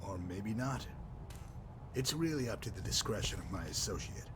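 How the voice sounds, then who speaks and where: A man's voice: fairly low pitch, expressive, normal speed, background noise, close to an everyday voice. A man voicing a sardonic, menacing enforcer in a video game, wry, wordy and mockingly polite. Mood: cold, mocking menace. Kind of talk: scripted video game dialogue.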